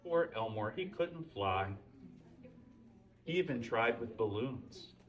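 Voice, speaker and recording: A man reads to children at a story time with highly animated, swinging intonation. He addresses his listeners directly with questions. The microphone sits some way from him in a live room. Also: far from the mic. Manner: pauses to ask the listeners questions. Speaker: Santa reading a picture book at a library story time. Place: indoors, library holiday open house.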